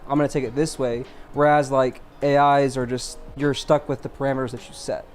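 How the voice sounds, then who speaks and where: A man's voice: medium pitch, flat delivery, fairly quick, darkish tone, soft background music. A young man co-hosting a podcast in casual, slangy banter with some swearing. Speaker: podcast co-host.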